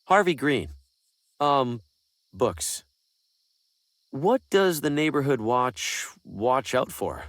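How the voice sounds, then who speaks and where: A man's voice: mid-range voice, lively intonation, slow, pauses often, a bit bright, no echo. An actor playing a bemused, jittery traveling salesman with wry asides in an adventure video game. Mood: curious, dry. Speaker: player character in adventure game.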